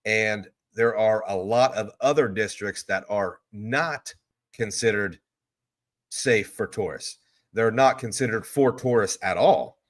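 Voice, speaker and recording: A man's voice: on the low side, takes it slowly. A man, hosting a live stream from a hotel room. He rambles casually and answers viewer questions one after another.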